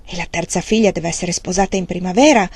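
A woman reading the part of a quiet, patient young peasant wife who speaks calmly and evenly. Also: lowish voice, vocal fry, slightly rough, no room sound. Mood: reporting. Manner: calm, measured.

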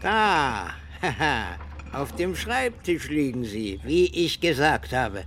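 A man's voice: mid-range voice, big pitch swings, takes it slowly, fairly bright tone, a little hiss or hum, heavily acted. An actor in a radio drama playing a polite, earnest postman who speaks deferentially. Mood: matter-of-fact. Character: polite, apologetic male postman, matter-of-fact.

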